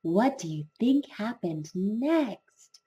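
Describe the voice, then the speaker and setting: Female children's storybook narrator: slow, expressive delivery with big swings in pitch and dramatic emphasis.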